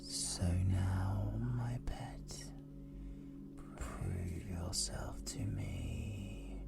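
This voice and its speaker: A British man voicing an ancient, sinister demon lord in a fantasy audio roleplay, whispering with breathy, grandiose, possessive menace. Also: sits low, very slow, loud music behind the voice, exaggerated voice. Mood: commanding menace.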